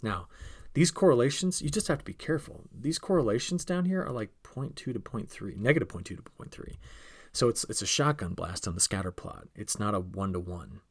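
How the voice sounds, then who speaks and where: A man with a fairly low voice gives an informal weather briefing. He explains like a forecaster talking straight to his followers, conversational and emphatic, often putting questions to the listener. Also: lively intonation, fairly quick, breathy edge. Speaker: weather forecaster presenting an outlook.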